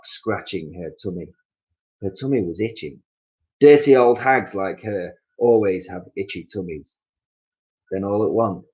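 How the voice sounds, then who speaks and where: A man reading a children's story aloud as an expressive narrator, on a slightly muffled recording. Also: deep voice.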